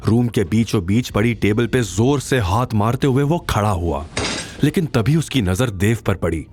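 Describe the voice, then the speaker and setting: Animated male audio drama narrator. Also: fairly low pitch, husky. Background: background music.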